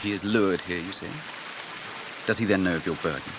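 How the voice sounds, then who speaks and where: A man's voice: deep voice, brisk, lightly acted. A British actor playing a proud, watchful captain in a radio drama, formal and imperious as he questions. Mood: probing. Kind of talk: BBC radio drama acting. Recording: hissy radio recording, loud falling water.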